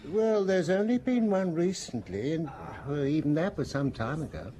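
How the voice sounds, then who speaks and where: An actor playing an obliging, chatty tailor in a radio drama, genial and slightly hesitant. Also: male voice, fairly high pitch, lively intonation, moderate pace, dark tone, natural voice.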